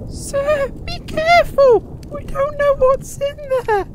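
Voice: very high voice, slow, heavy background noise. An actor playing a naive, frightened servant boy who speaks politely and hesitantly in a comedic fantasy audio drama. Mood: alarmed. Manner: hesitant, stammering.

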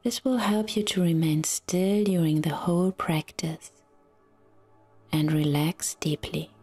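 Very slow, breathy woman guiding relaxation. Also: on the low side, steady tone, soft background music. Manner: soft, soothing, breathy, half-whispered delivery with long pauses. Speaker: yoga nidra guide. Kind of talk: guided relaxation meditation.

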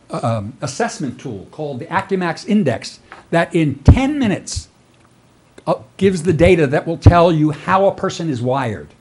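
A middle-aged man reporting to a city council on a workforce program, earnest and quick, with plenty of ums and restarts. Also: a little high, darkish tone. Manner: earnest, fast-moving with frequent fillers. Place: council chamber, standing before seated audience.

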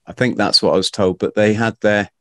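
A middle-aged man hosting a podcast, swapping stories in relaxed, jokey banter and laughing often, recorded on a studio mic at home. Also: fairly low pitch, brisk, no echo. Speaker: podcast host. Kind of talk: casual interview chat. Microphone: studio mic with headphones.

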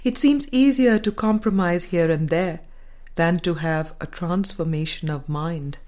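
A woman reading a spiritual book aloud in a measured, deliberate and formal way. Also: on the low side, a little mellow. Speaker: audiobook reader.

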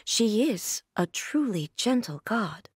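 An actress playing a composed, stern female warrior god in a game, speaking in a calm, measured, reserved way. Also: mid-range voice, breathy edge, no echo, studio quality, heavily acted. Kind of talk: game character voice line.

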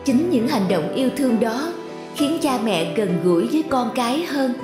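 A woman's voice: on the high side, lively intonation, moderate pace, reverberant, over music. A woman reading scripted narration for a moral story.

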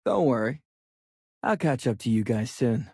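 An actor playing a stern, vengeful young male adventurer in a video game, husky and firm with restrained anger. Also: mid-range voice, very animated, a little slow, darkish tone, dry recording, studio quality, heavily acted. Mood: resolute. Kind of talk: video game character acting.

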